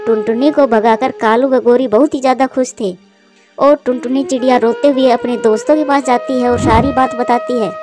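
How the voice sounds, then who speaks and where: A woman's voice: a little high, fairly even intonation, normal speed, music in the background. A woman reading scripted narration for a children's moral story.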